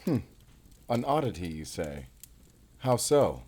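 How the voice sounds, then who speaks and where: A man's voice: lowish voice, sing-song, slow pace, mellow, breathy edge, full character voice. A man playing a stern, guarded werewolf hunter, terse and commanding, in an audio drama. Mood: questioning, guarded. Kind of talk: cinematic audio roleplay acting.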